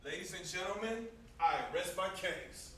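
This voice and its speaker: Man acting a bitter high-voiced young brother, echoey stage. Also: a little slow, pushed and bright. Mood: sarcastic. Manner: sarcastic, confrontational. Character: bitter, sarcastic young brother nursing family grudges. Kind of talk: amateur stage play acting. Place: indoor theatre stage. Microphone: distant camera microphone. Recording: distant echoey stage recording, faint noise.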